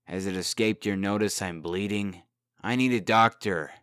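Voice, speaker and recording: A man's voice: on the low side, fairly even intonation, normal speed, a bit bright, clear, resonant tone, no echo, clean studio sound, close to an everyday voice. A man playing a cowardly, glib young rascal in a comic dub, pleading dramatically. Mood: whiny.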